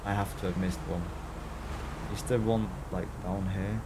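Male game streamer, Norwegian accent, lowish voice, loose casual chat with some swearing, over clear vehicle noise. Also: steady tone, a little slow. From Norway. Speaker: live streamer. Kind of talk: casual gaming livestream commentary, chatting with viewers. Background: game ambience with vehicle sounds.

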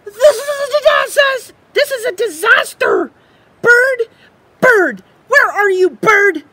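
Storybook squirrel , woman performer: panicky, possessive, melodramatic, very high-pitched and loud. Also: very animated, slow pace, no echo, heavily acted. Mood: distraught. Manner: exclamatory, melodramatic, accusing. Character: frantic, possessive squirrel, easily panicked, shrill and excitable. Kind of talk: children's storybook read-aloud.

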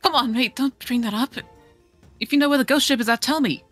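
Woman doing a plucky excitable young raccoon sailor girl. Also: high voice, brisk, faint music behind, pitched above the performer's own voice. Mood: eager, pushy. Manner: Aussie slang, brash, stammering when scared. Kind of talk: amateur game fandub.

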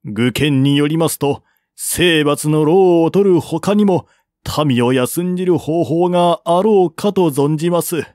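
A man's voice: a little slow, darkish tone, no room sound, clean studio sound, close to an everyday voice. A man voicing an earnest, learned envoy in an audiobook, urging peace on his prince in formal, persuasive speech. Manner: formal, persuasive, higher-voiced.

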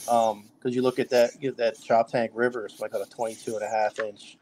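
A middle-aged man talks in a loose, rambling way and keeps restarting his sentences. His pitch stays fairly level and flat throughout. It is relaxed, casual podcast guest talk. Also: fairly quick, a little hiss or hum, low-quality recording. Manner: loose, filler-heavy talk with many restarts. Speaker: kayak fishing trail director.

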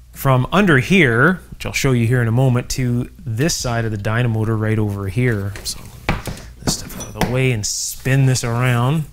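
A man's voice: medium pitch, a little hiss or hum. A middle-aged man hosting an informal workshop video, explaining things in an enthusiastic, conversational way. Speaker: host of an electronics restoration channel. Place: indoor electronics workshop.

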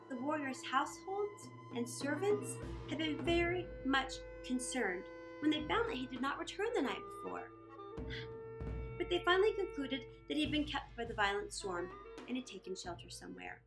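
A middle-aged woman reading a story aloud for children in a theatrical way, with deliberate phrasing and dramatic pauses. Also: high-pitched, poor audio. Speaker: children's storytime reader and host.

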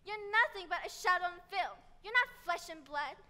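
A woman's voice: very high-pitched, expressive, moderate pace, bright, forceful tone, in a large hall, exaggerated voice. A young woman on a school stage playing a proud, prim aspiring actress. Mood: indignant. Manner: indignant and prim. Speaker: student actor. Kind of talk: school stage musical acting. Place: large school theatre stage.

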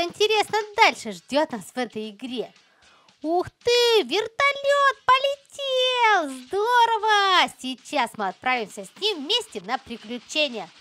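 A woman's voice: high voice, bright, forceful tone. A woman hosting a children's game play-along, chatty and exclamatory, talking directly to young viewers. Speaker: host of a children's gaming channel.

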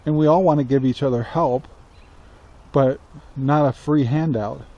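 An American man in his sixties talking casually to camera outdoors, halting, reflective and plain-spoken. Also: mid-range voice, mellow, muffled recording. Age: 60s. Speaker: country living vlogger. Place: outdoors on a rural yard beside a metal barn. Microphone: camera at arm's length. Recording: faint outdoor ambient noise.

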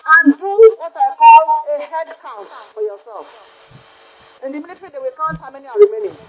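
A woman's voice: high voice, lively intonation, brisk, lo-fi sound, crowd noise. A woman, a religious sister, preaching a retreat sermon in a church, emphatic and repeating words for effect. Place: church sanctuary by the altar.

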